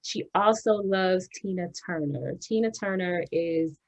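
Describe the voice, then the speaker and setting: A woman's voice: fairly low pitch, a little slow. Young Texan woman singer chatting. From Houston area, Texas. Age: young adult. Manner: warm, talkative, laughs often, many ums. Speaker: singer, interview guest. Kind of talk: casual interview chat.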